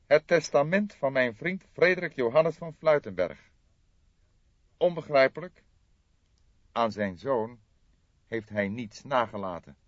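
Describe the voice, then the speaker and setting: Stern middle-aged gentleman, acted by a male narrator, raised pitch, gloomy, formal, emphatic, audiobook, dull roomy recording. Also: lively intonation, unhurried, long pauses. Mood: indignant, emphatic.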